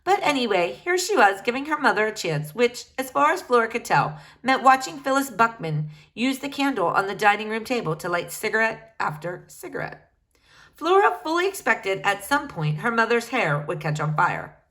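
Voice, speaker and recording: Expressive woman reading a children's novel. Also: normal speed. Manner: expressive, shifts pitch for each character. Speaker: reader.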